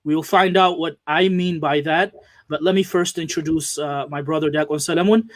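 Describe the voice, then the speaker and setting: A man hosting an interview show, speaking earnestly with frequent pauses and fillers. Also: on the high side, fairly even intonation, dry recording, clean studio sound. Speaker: host. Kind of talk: hosted interview.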